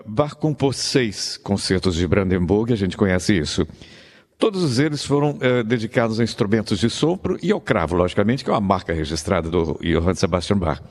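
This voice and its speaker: A man's voice: lowish voice, a little mellow, in a large hall. A man with a Brazilian accent presenting classical music as a radio host.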